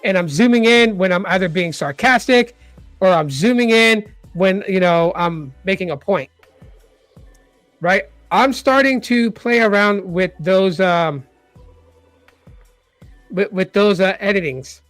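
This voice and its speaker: A man's voice: high-pitched, a little slow, long pauses, a bit bright, no echo, soft background music. A man in his forties with a light voice, talking casually as a tech channel host, rambling and repeating words with lots of fillers. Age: 40s. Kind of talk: casual vlog talk.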